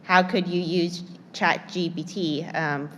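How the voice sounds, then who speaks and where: A woman in the workshop audience asking a question, halting and hesitant with many fillers and restarts. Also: lowish voice, steady tone, a bit bright, in a large hall, slight background noise. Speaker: workshop participant.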